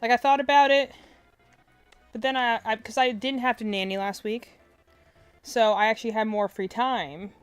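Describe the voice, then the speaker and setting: Soft-spoken young woman rambling casually. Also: on the high side, fairly even intonation, moderate pace, long pauses, clear, resonant tone, no room sound, faint music behind. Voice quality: soft, quiet voice. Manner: rambling, filler-heavy, self-interrupting. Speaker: game streamer. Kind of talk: casual live-stream chat. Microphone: desk mic close to face.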